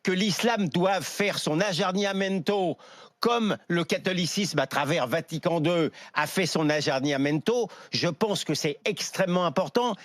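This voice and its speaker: Measured male guest, slightly high, dry close sound. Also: no room sound. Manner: measured, stumbling at times. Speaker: talk show guest. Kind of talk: televised discussion.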